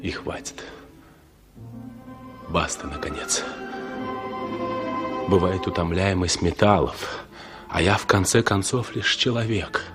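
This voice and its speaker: An actor playing a lonely, disillusioned traveller in a 1960s radio drama, speaking in measured, declamatory phrases. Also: male voice, sits low. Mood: weary, resigned. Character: weary, disillusioned male traveller, brooding and lonely. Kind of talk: 1960s radio drama acting, verse-like interior monologue. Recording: 1960s radio play recording with music. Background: music.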